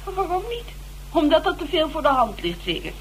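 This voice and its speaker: A chatty, flirty woman, with a high voice that swings widely. She is playful, opinionated and excitable, in a radio play with faint hum. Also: brisk. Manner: gossipy, playful. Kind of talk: radio drama acting. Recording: noisy, humming old radio play tape.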